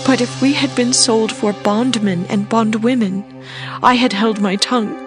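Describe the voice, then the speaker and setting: Female actor as brave queen, high voice, impassioned, earnest, dignified, Bible drama, soft music underneath. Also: steady tone, normal speed, lightly acted. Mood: anguished. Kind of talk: dramatized audio Bible acting.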